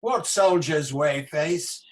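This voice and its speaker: An amateur actor playing an ageing, embattled tyrant king in a Shakespearean radio play, declamatory, defiant and world-weary. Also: a little high. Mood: scornful, angry. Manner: declamatory, slow, theatrical.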